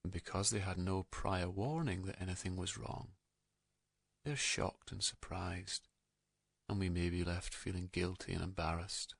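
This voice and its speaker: A man narrating a guided meditation in a calm, gentle, soothing manner, leaving unhurried pauses between soft phrases. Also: low voice, creaky, husky, dry recording.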